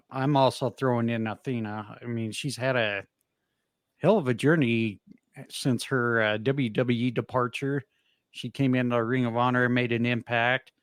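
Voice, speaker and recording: A man hosting a wrestling podcast in a relaxed, rambling way. He talks at a middle pitch, pads his lines with fillers and pokes fun at himself. His mic sounds dry and close, with no room around it. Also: no echo. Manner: easygoing, rambling, self-deprecating with frequent fillers. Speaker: podcast host. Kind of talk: casual wrestling podcast chat.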